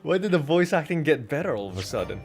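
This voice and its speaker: A man's voice: a little high, big pitch swings, fast, faint music behind. A man streaming a game with casual, joking, slangy live commentary. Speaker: streamer and amateur voice actor.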